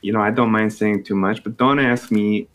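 A man's voice: fairly low pitch, steady tone, moderate pace, natural voice. A man playing a vain, tipsy female actress with a put-on Colombian Spanish accent in an improvised comedy bit. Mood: haughty tipsy. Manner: haughty, blunt, rambling.

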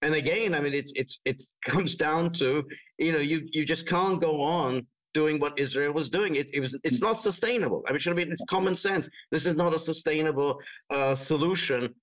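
A man's voice: a little high, moderate pace, fairly bright tone. Hesitant male analyst, distant from the mic. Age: middle-aged or older. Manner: very hesitant, broken into short fragments. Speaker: political analyst, panel guest. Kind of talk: unscripted political commentary.

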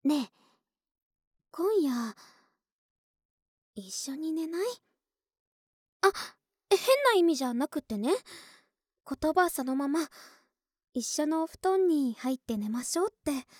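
Young neighbor woman, played by an actress, ASMR audio drama: cutesy, intimate, coaxing, unhurried delivery in a dry recording. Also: high voice, animated, a little mellow, dry recording. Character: friendly, clingy young neighbor woman, older-sister type. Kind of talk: Japanese ASMR situation voice drama acting.

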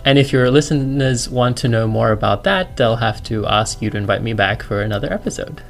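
A man's voice: medium pitch, brisk, vocal fry, soft background music. A man in his thirties with an Australian accent, a lecturer guest on a podcast, talking casually and joking about his own work. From China. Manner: chatty, self-deprecating, laughs easily.

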